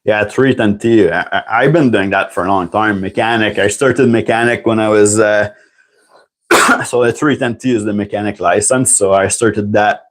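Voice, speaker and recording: A man's voice: fairly low pitch, steady tone, moderate pace. A man, chatting casually on a livestream and answering viewer questions. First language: French. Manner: rambling and chatty, answers viewer questions, clears throat often. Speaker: livestream host.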